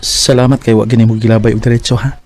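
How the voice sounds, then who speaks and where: An actor playing a torn young husband in a radio drama, stammering and pleading. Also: male voice, mid-range voice, flat delivery, quick pace, loud music behind the voice, lightly acted. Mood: anguished. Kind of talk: Bisaya radio drama acting.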